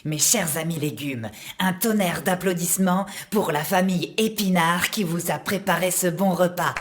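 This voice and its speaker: A grandiloquent radish leader, played by a woman, speaks in a fairly low register. The cartoon figure rallies fellow vegetables like a solemn politician at a banquet podium. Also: moderate pace, crowd noise, noisy background, natural voice. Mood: solemn, rallying. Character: rallying radish leader addressing cousin vegetables in a speech. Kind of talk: solemn public speech in a children's cartoon.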